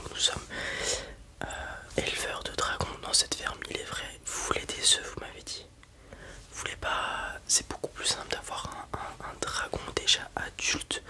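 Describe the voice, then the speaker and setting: Brief: whispered ASMR roleplay voice, any sex, breathy and soft, hesitant explainer playing a dragon breeder. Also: moderate pace.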